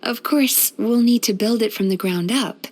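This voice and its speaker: A woman playing a gentle, caring female psychologist in a video game, speaking in a soothing, thoughtful, measured and softly playful manner. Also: a little high, natural voice. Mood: grateful, earnest. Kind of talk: scripted video game character voice lines.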